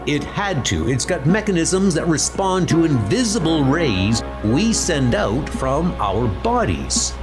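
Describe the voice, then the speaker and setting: Man acting a confident mutant hero, deliberate pace. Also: fairly high pitch, very animated, music in the background. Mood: explaining. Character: confident atom-powered mutant hero, commanding male leader. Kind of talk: comic dub acting.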